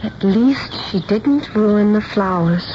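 An actress playing a candid, soft-hearted young bride-to-be who is upset, in a radio drama. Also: female voice, medium pitch, expressive, slow. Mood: bitter, sad. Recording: dull, noisy 1970s radio recording.

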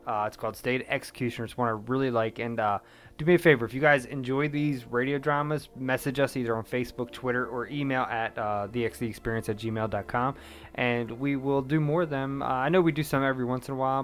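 Laid-back podcast host. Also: male voice, mid-range voice, fairly bright tone. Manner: loose, rambling, many fillers. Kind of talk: casual chat.

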